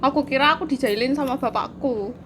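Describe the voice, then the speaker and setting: A woman's voice: high-pitched, a little fast, background noise. A woman, chatting casually and giggling as she tells a spooky story. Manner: giggly, laughs through her story. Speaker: co-host telling ghost stories. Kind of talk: casual chat.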